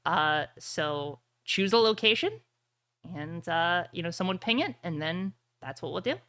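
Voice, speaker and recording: A woman's voice: lowish voice, expressive, measured pace, fairly bright tone, no echo. A woman running a tabletop roleplay game as game master, narrating casually over music.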